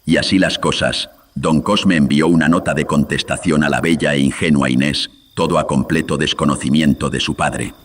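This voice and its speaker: A man's voice: steady tone, moderate pace, fairly bright tone, slight background noise. A man narrating a scripted horror radio drama in a solemn, dramatic storytelling manner, with a processed voice. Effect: deepening voice filter.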